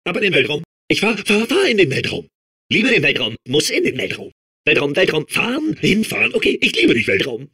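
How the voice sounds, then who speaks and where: A man playing a manic, childlike robot sphere obsessed with outer space in a video game, babbling and repeating himself in a strained, husky voice. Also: sits high, a little fast, full character voice. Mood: frantic, eager. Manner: excitable, babbling, repeating words compulsively. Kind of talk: video game character voice clips.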